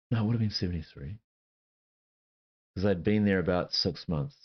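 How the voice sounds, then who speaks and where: A man in his fifties speaks with a softened New Zealand accent in a very deep, low voice. He talks in a halting, unscripted way, pausing on fillers and correcting himself. The sound is dull and muffled, from a home laptop setup. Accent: New Zealand, softened by years abroad. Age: 50s. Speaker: channel host. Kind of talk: casual self-introduction to camera. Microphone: laptop with webcam and desk microphone.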